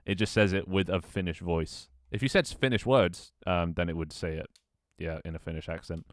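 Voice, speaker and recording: Young guy streaming, real low voice, rambly and chatty, dry close mic. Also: fairly even intonation, normal speed, no echo. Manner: rambling, self-talk with frequent restarts and laughs. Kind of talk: casual live-stream chat while gaming.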